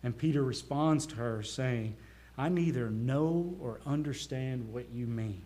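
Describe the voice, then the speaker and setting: A male pastor with a Southern US accent preaching a sermon, earnest, swelling into emphasis and dropping quiet. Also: mid-range voice, measured pace. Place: church.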